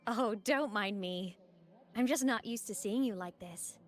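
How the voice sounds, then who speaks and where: An actress playing a playful, breezy young college student in a video game, teasing and animated. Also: female voice, mid-range voice, measured pace, lightly acted. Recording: music under the voice.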